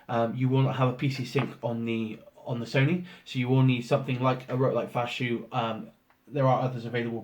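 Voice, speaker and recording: A man with a hoarse voice from a cold, casually talking through an unboxing with lots of ums and restarts. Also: medium pitch, a little mellow. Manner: rambling, many ums and restarts. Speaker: photographer doing an unboxing. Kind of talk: casual product walkthrough. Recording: roomy echo, faint noise.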